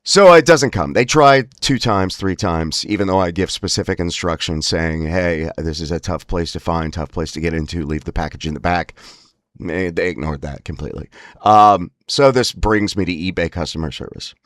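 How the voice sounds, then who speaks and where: A man hosts with a casual, rambling monologue in a deep voice. His speech is strained and broken by spasms, yet wry and emphatic. The sound is close and dry, free of room echo. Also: normal speed, fairly bright tone. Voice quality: spasmodic, strained breaks from spasmodic dysphonia. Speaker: host of a lifestyle series about a voice disorder.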